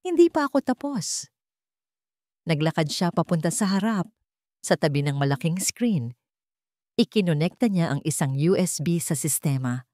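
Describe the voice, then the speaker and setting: A woman's voice: sits low, normal speed, darkish tone, no echo, clean studio sound. A woman reading a scripted drama story as its narrator, in a dramatic storytelling style.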